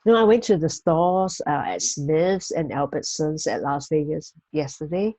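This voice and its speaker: A woman from Singapore, hosting a motivational talk and giving weekly life tips in a gentle, measured way with frequent fillers. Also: sits low, expressive, no room sound.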